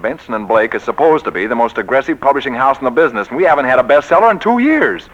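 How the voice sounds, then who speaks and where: A man's voice: mid-range voice, lively intonation, fast talker, close to an everyday voice. An actor playing an exasperated, blustering male boss of a publishing house in a 1940s radio comedy. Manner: blustery, grumbling. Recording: dull, lo-fi 1940s radio recording.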